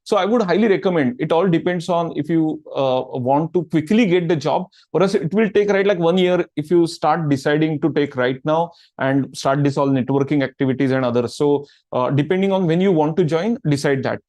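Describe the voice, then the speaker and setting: A man's voice: a little high, fairly quick, hardly any pauses, darkish tone, no room sound. A middle-aged man answering audience questions in a casual talk, filling his sentences with "right, like" and "I mean". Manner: filler-heavy, repeats "right, like". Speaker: guest speaker answering questions.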